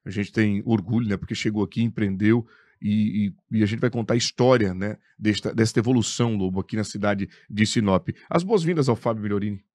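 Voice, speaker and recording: A man's voice: on the low side, fairly quick, a little mellow, dry recording. A Brazilian man hosting a live radio interview. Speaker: radio host. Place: radio studio.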